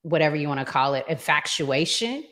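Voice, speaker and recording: A middle-aged American woman hosting a conversational relationship show, speaking in an emphatic, deliberate way with strong opinions. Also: low-pitched, animated, a bit bright. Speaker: podcast host.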